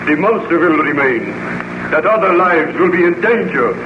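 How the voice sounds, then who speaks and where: An actor playing a stern, accusing village burgomaster in an old radio drama, formal and declamatory. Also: male voice, fairly high pitch, animated, moderate pace, close to an everyday voice. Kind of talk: 1930s radio drama acting. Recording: muffled, noisy, echoey old radio recording.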